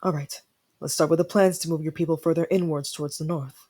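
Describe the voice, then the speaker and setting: A woman playing a proud young ice prince in an ASMR fantasy roleplay, haughty and stammering when flustered, then softening. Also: low voice, steady tone, fast, breathy edge, voice pushed down.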